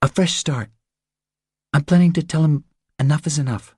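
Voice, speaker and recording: A man voicing a weary, determined but frightened young mother with an earnest, hushed delivery, in an audiobook. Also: on the high side, normal speed, slightly rough, no echo, studio quality, voice pushed up.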